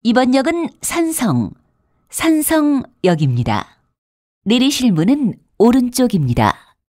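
A woman's voice: fairly high pitch, big pitch swings, measured pace, darkish tone, dry recording, studio quality. A woman reading scripted transit announcements in a formal, polite manner with careful enunciation. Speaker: subway announcer.

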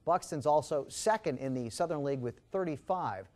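A middle-aged man anchoring TV sports, reading highlights in a punchy, emphatic announcer style. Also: expressive, normal speed, a little mellow. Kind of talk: scripted sports highlights narration. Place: TV news studio.

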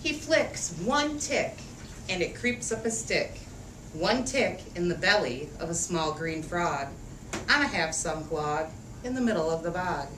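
Woman reading a storybook in an echoey room. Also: lowish voice. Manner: expressive, rhythmic reading with drawn-out phrases and questions to children. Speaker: teacher reading a picture book to young children. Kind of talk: interactive storybook read-aloud. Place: echoey room with a group of children. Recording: echoey room, distant mic, faint noise.

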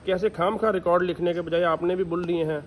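A man's voice: sits high, steady tone, fast talker, a little hiss or hum. A man who owns bulls at a dairy farm, answering interview questions in a firm, emphatic, explanatory way. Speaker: bull owner and dairy farmer.

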